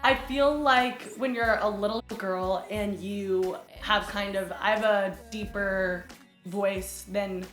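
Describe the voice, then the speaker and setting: A woman's voice: medium pitch, fairly even intonation, slow pace, a bit bright. A young adult woman, a podcast guest and singer, chatting casually and laughing often. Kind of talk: casual chat. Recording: roomy room with faint music.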